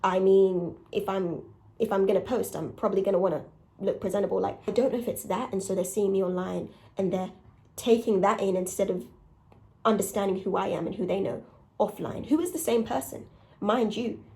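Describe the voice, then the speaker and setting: Raspy, mellow young woman chatting candidly. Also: medium pitch, a little fast. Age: young adult. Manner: candid, conversational, emphatic with rhetorical questions. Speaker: podcast host and content creator. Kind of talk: casual confessional solo chat.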